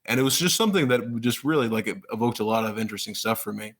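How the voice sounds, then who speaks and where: A man's voice: fairly low pitch, quick pace, fairly bright tone. A man in his thirties who grew up in Pakistan, a guest author talking casually and enthusiastically in an interview, with many fillers and restarts.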